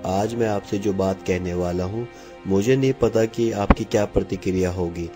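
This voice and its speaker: A man's voice: low voice, normal speed, over music, close to an everyday voice. A man voicing an earnest, resolute prince in love, speaking formally in a cartoon fairy tale. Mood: tender.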